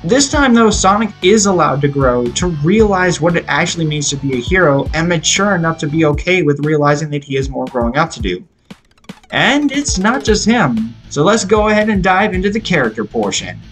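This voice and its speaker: Higher-pitched man narrating over prominent music. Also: very animated, fairly quick, a bit bright. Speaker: video essayist reviewing a film. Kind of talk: scripted review narration. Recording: music playing behind the voice. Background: guitar rock music.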